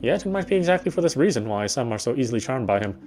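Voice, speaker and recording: A man's voice: medium pitch, very animated, rapid-fire. A man reading a visual novel's narration aloud, now and then stumbling over a phrase and rereading it. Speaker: game player reading aloud. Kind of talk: scripted narration read aloud. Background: soft guitar game music.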